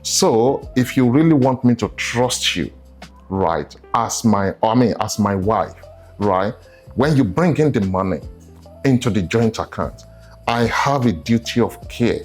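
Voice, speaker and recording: A man on a discussion panel, explaining his view in a measured way and often checking in with "right?". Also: on the low side, lively intonation, soft background music.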